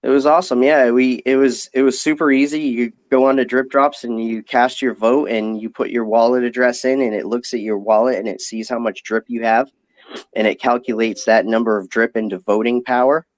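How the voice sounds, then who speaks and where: A man's voice: mid-range voice, fairly even intonation, brisk, no room sound. A man giving casual, explanatory answers as a company guest in an online audio interview. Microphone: online audio chat.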